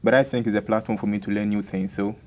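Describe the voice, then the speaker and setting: Low-voiced young man. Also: steady tone, fast talker, a little hiss or hum. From Ghana. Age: young adult. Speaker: international student. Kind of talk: press conference answers.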